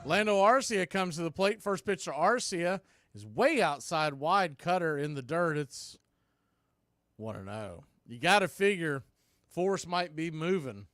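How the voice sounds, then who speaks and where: Male baseball announcer, slightly high-pitched, live play-by-play in short clipped phrases, dry sound. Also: expressive, unhurried, fairly bright tone, no room sound, faint music behind. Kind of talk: live sports commentary with score updates.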